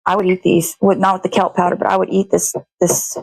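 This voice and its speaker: A woman's voice: fairly low pitch, monotone, fairly quick, creaky, a little mellow, no echo. A woman chatting casually while she cooks, rambling to herself and swearing freely as a show host. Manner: rambling, self-talking, frequent swearing. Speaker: host of a daily puppet show. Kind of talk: casual chat while cooking.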